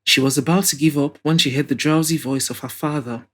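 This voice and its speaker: A woman's voice: deep voice, fairly even intonation, brisk. A woman reading scripted narration as the narrator of an audio drama.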